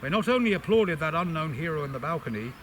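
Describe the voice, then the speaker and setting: An older man with a slightly high voice reads in a literary, expressive style. His phrasing is measured and dramatic. The home recording carries a clear, steady buzzing noise behind him. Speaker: amateur reader introducing and reading a book extract. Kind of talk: expressive literary reading aloud.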